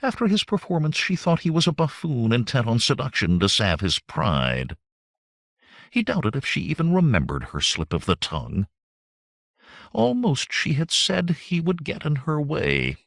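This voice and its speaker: A man reading adventure fiction aloud as an audiobook narrator, in measured, expressive storytelling. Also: mid-range voice, creaky, no room sound, clean studio sound.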